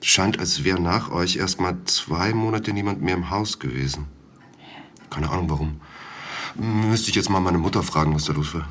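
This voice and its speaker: A man's voice: low voice, normal speed, a bit bright, slight background noise. An actor in his thirties playing a hesitant village man and father of two, talking with fillers and small slips. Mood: recalling. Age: 30s. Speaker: villager and witness. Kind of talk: audio drama acting.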